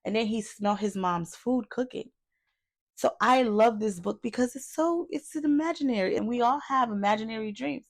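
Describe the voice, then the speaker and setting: Female children's story host, higher register with noticeable vocal fry, reading a picture book and chatting to young listeners. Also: moderate pace. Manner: addresses children with questions and asides. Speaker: children's read-aloud host.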